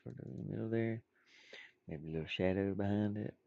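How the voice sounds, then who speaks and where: Low gravelly guy rambling while he draws game stuff, mumbly n goofy, muffled dry mic. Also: a little fast, no room sound. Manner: muttering, rambling, playful asides. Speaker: indie game designer streaming. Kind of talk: casual chat while drawing game art, thinking aloud.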